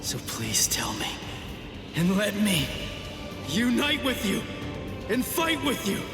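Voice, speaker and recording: An actor playing an earnest, determined young sword fighter in an anime video game, speaking with intensity. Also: male voice, high-pitched, huge pitch swings, takes it slowly, over music, heavily acted. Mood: pleading, resolute.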